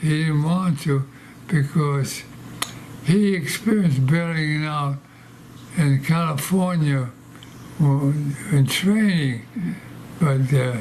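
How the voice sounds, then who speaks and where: A man's voice: a little high, slow pace, long pauses, darkish tone, a little hiss or hum, rough recording. A man in his nineties, born in Poland, answering questions in an oral history interview, often repeating words and restarting. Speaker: veteran interviewee. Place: library interview room.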